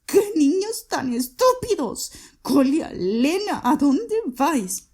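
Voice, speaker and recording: A woman's voice: high voice, lively intonation, measured pace, warm tone. A woman voicing a frantic, grieving widowed mother who pleads shrilly and desperately.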